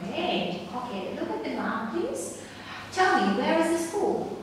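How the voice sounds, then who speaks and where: A young woman teacher asking a child test questions slowly and clearly, with short praise between answers. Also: sits high, very animated. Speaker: English teacher giving an oral test. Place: small classroom, indoors. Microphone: far camera. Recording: echoey room, far from the mic, background noise.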